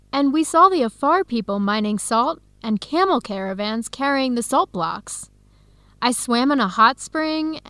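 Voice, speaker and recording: A woman playing a cheerful, adventurous young American in a scripted radio drama, speaking clearly and brightly with an American accent. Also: on the high side, dry recording. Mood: enthusiastic. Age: young adult.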